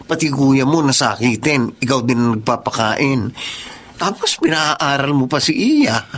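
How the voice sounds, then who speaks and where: A man's voice: mid-range voice, big pitch swings, a little slow, a bit bright. A man playing a concerned young sweetheart in a Tagalog radio drama, speaking earnestly. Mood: concerned, insistent.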